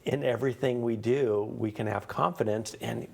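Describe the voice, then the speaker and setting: A man with a North American accent, hosting a faith interview in a reflective, conversational, halting way. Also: mid-range voice, steady tone, vocal fry. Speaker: talk show host.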